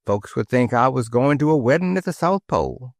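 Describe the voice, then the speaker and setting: A man's voice: mid-range voice, expressive, brisk, dry recording, studio quality, lightly acted. A man voicing a saucy male city sparrow with a Cockney accent, chirpy and drawn out. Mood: cheeky.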